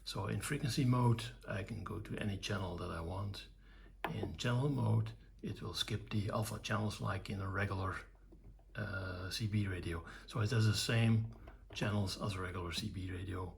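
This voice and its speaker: An older man giving an informal technical demonstration, explaining things in short, halting, measured phrases. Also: on the low side, fairly even intonation, vocal fry, a little hiss or hum. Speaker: electronics hobbyist presenting own project.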